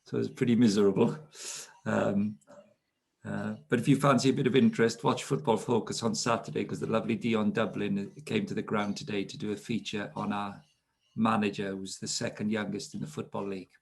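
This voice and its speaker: A man's voice: on the low side, little pitch movement, a little fast, vocal fry, a little mellow, slightly rough. A man in his fifties with a Welsh accent from South Wales, telling stories about his life as a guest in an interview, self-deprecating and chatty. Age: 50s. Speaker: guest speaker. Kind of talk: relaxed storytelling interview.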